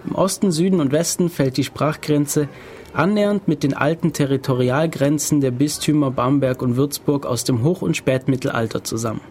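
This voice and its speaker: A man's voice: fairly high pitch, darkish tone. Brief: male podcast host, casual, reads text aloud with stumbles, faint noise behind. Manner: reads aloud haltingly, stumbles over words.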